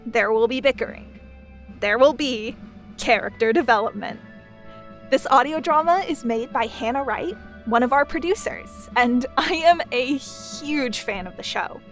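A woman's voice: a little high, lively intonation, measured pace, soft background music. A woman giving a scripted promotional read as a podcast producer.

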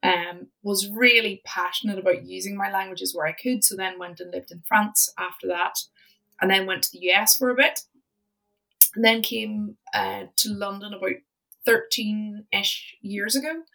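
A woman's voice: medium pitch, fairly even intonation, normal speed, gravelly, bright, forceful tone, far from the mic, rough recording. A woman with a Northern Irish accent, a podcast guest chatting casually about her career with lots of ums and restarts. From Northern Ireland. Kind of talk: casual interview chat.

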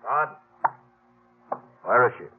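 An actor playing a cold outlaw gang boss in a 1950s radio western, curtly barking orders with menace. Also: male voice, mid-range voice, lively intonation, takes it slowly. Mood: menacing. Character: cold commanding male outlaw gang boss posing as sheriff. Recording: muffled, noisy 1950s radio recording.

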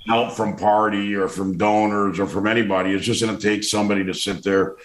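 A man with a very low voice who talks loudly and forcefully, often restarting his sentences. His voice has a roomy echo around it. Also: flat delivery, a little fast. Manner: loud, forceful, with many restarts and fillers. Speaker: political commentator. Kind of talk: casual interview.

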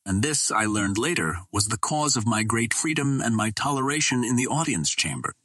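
A man reads an adventure novel aloud as scripted narration, in a deliberate, formal storytelling manner with a husky voice. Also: lowish voice, fairly bright tone, no echo. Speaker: audiobook reader.

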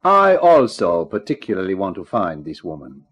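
A man's voice: low-pitched, huge pitch swings, moderate pace, fairly bright tone, breathy edge, heavily acted. An actor playing a formal, calculating foreign man in a 1950s radio drama. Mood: polite. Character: smooth, formal foreign schemer, middle-aged man. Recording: dull 1950s radio recording.

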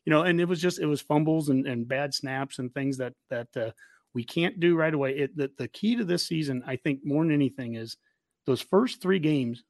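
A man's voice: fairly high pitch, moderate pace, no room sound, studio quality. A man in casual sports chat, making his points emphatically and anecdotally as a fan show host.

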